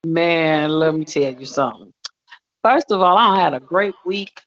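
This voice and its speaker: A woman's voice: sits low, lively intonation, a little slow, slight background noise. A woman of fifty co-hosting an open mic show, talking casually with exuberant, churchy enthusiasm. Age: 50s. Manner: exuberant, churchy, talks to the audience as y'all. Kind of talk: casual chat. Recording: dull lo-fi online radio audio.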